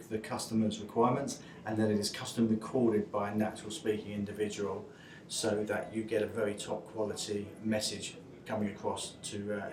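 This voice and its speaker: A man's voice: medium pitch, darkish tone, recorded from a distance. A man walking through a product demo in a large showroom, explaining loosely with uhs and restarts. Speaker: product demonstrator. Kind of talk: live product walkthrough. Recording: echoey showroom, off-mic.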